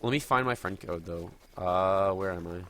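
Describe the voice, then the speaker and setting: A man's voice: sits low, normal speed, pushed and bright. A man with a cold, sniffling a little, chatting and thinking aloud as he streams a game live. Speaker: gaming streamer.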